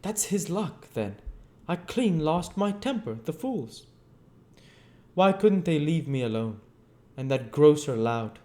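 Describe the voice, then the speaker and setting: A man's voice: fairly high pitch, sing-song, slow pace, dark tone, pitched above the performer's own voice. An arrogant and bitter fugitive scientist from a storybook, acted out by a man reading aloud. He declaims with indignant emphasis in a voice pushed upward, always volatile and sure of his own genius. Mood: furious, exasperated.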